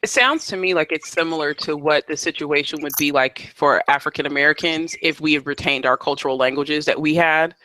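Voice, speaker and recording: A man's voice: on the high side, fairly quick, few pauses, sharp tone, rough recording. A man hosting a live panel discussion, talking conversationally with frequent restarts and fillers. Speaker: host.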